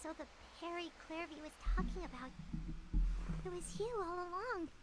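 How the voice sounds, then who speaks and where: An actress voicing a tiny female fairy companion in a video game, with a childlike, excitable and earnest manner. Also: moderate pace, heavy background noise. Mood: curious. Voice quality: very high, childlike voice. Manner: pleading and excitable. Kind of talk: game voice acting.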